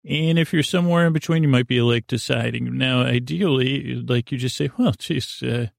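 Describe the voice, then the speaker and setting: A man hosting a bedtime podcast in a halting, meandering, comedic monologue that keeps circling back and drifting into digressions. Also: mid-range voice, gravelly, no echo, clean studio sound. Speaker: podcast host.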